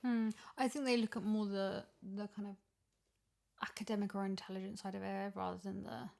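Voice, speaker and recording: A woman in a casual discussion, explaining her opinions in an animated way. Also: mid-range voice, brisk, creaky. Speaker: podcast guest, audio drama voice actor.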